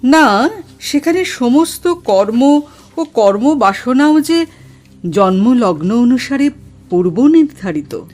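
A woman's voice: on the high side, very animated, takes it slowly, darkish tone, exaggerated voice. A woman playing a grave, dignified astrologer and spirit medium in a horror audio drama, speaking formally and solemnly. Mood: firm, dignified.

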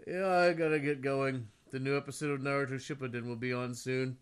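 A dismissive cartoon dad played by a man with a smooth, clear voice and very even pitch. He sounds deadpan and indifferent to his family. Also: fairly quick, natural voice. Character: anime-obsessed, indifferent father.

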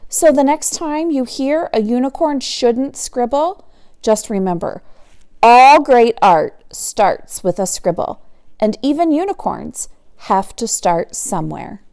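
A woman with a fairly high voice reads a picture book aloud to children. Her pitch swings widely and she takes her time, stretching key words for dramatic effect. It is an animated, expressive teacher's read-aloud. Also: slow pace.

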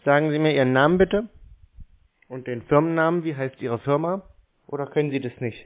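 A man's voice: on the high side, fairly quick. A man in a phone conversation, answering in a dry, stubborn and evasive way over a telephone line. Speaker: call recipient baiting scam callers. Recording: narrow muffled telephone line.

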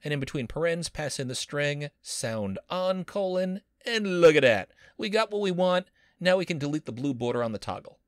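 A man's voice: a little high, animated, moderate pace, dry recording, clean studio sound. A man teaching a screen-recorded coding lesson as a university instructor, upbeat and playful with jokey wordplay.

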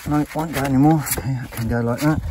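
A man's voice: medium pitch, fairly even intonation, quick pace. A middle-aged man talking himself through repairing roof tiles, matter-of-fact and practical, recorded outdoors on a rooftop. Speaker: vlogger renovating a house. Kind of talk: casual narration while working. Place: outdoors on a high tiled rooftop. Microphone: handheld camera, often several steps away. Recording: outdoor recording from a distance, loud wind noise.

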